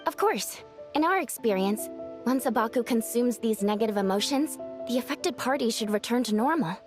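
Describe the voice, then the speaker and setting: Woman voicing a high-pitched, earnest little creature. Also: expressive, normal speed, music in the background, close to an everyday voice. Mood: earnest, informative. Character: polite, helpful small round female Baku creature. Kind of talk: video game voice acting.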